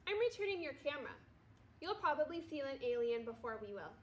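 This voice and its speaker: A woman's voice: high voice, very animated, fairly quick, dull audio. A woman voicing an earnest, good-natured young boy in a storybook, speaking plainly. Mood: casual.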